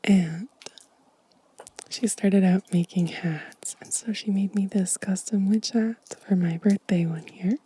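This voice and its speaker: Slow, breathy whispering woman. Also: medium pitch, steady tone, no room sound, poor audio. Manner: gentle, unhurried. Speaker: ASMR video creator. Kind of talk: soft-spoken whispered ASMR show and tell.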